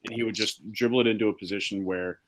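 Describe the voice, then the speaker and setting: A man's voice: fairly low pitch, fairly even intonation, quick pace, poor audio. A young man co-hosting a casual sports chat, blunt and opinionated, swearing now and then. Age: young adult. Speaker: podcast co-host.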